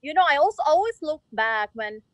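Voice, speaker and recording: High-pitched woman in casual guest chat. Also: big pitch swings, brisk, dry recording. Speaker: guest event decorator and designer.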